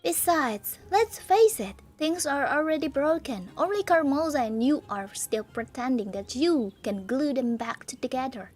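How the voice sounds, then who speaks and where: A woman reading the part of a defiant young woman in a visual novel, her voice lowered, cold and cutting. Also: lively intonation, unhurried, few pauses, darkish tone, faint music behind.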